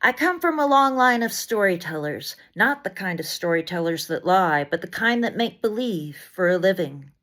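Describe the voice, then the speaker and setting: A woman reciting spoken-word poetry in deliberate short phrases with dramatic emphasis, as a poet reading another writer's work. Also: on the low side, animated, fairly bright tone.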